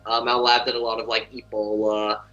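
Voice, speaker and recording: A young man, a medical student answering interview questions in a relaxed, rambling way full of fillers. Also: lowish voice, a little fast. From New Zealand. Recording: dull lo-fi audio.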